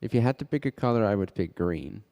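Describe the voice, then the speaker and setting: A man leading a guided meditation as a teacher, speaking in short, unhurried phrases with pauses between them. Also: on the low side, lively intonation, warm tone. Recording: long reverberant echo.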